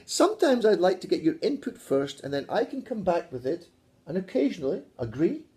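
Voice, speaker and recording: A Scottish man with a mellow, dark tone leads a relaxed video lesson. He talks with a lively, swooping pitch and keeps slipping in playful, teasing jokes. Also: fairly quick. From Scotland. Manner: playful, teasing, jokey asides. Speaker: English teacher.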